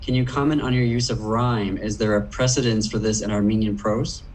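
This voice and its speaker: A man's voice: fairly low pitch, steady tone, brisk, a little mellow, slight background noise. A man moderating a panel over a video call, reading audience questions aloud in a plain way.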